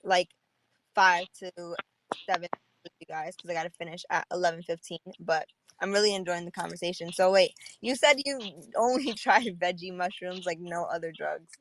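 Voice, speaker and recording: A woman's voice: fairly low pitch, normal speed, a bit bright, a little hiss or hum, rough recording. A young woman hosting a podcast in casual chat, talking freely, laughing often and saying "like" a lot. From New York. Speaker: podcast host. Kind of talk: casual chat on a live audio panel.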